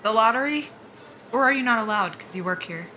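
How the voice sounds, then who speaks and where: A woman's voice: fairly high pitch, expressive, fairly quick, pushed and bright, a little hiss or hum. A woman playing a self-mocking soldier and job candidate in an audio drama, joking and sardonic in a casual way. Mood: wry, nervous. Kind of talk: naturalistic audio drama dialogue.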